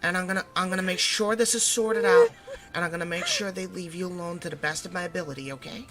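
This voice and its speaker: A woman playing a protective mother in an audio roleplay, gently and earnestly reassuring her child in a halting way. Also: fairly low pitch, big pitch swings, a loud crowd. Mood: firm, loving. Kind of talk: audio roleplay drama acting.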